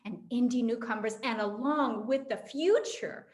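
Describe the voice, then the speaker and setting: Theatrical American woman with a high voice. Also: normal speed. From Los Angeles, United States. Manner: theatrical, emphatic. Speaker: moderator of a panel event. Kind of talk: hosting an online panel, introducing guests. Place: online video call.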